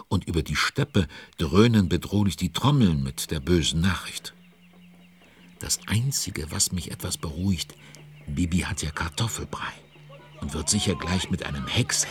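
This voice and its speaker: A man's voice: dry recording, exaggerated voice. A man narrating a children's audio drama as an avuncular, fretting storyteller, full of exclamations and questions to young listeners. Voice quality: very deep, husky.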